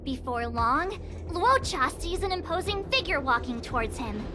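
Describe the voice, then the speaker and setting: A bubbly, very high-voiced storyteller. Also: female voice, animated, moderate pace. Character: bubbly young woman narrating a made-up tale. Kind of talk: game cutscene voice acting. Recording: game audio over music.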